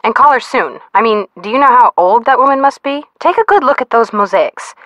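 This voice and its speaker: Perky, animated young female sidekick. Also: a little high, fairly quick, no echo, muffled recording. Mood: perky, teasing. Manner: perky, dramatic, chatty. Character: bubbly, teasing young woman, sleuth's friend and sidekick. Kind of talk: video game hint dialogue.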